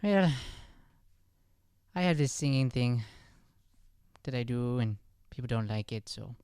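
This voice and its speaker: An actor playing a meek, gentle Irish boy, shy and hesitant, in an improvised radio comedy. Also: medium pitch, animated, measured pace, clear, resonant tone, dry recording, studio quality. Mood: bashful.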